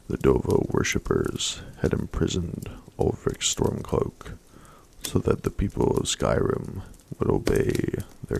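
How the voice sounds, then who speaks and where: A man reading a fantasy story aloud in a slow, soft-spoken ASMR style, much of it half-whispered. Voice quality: very deep gravelly voice.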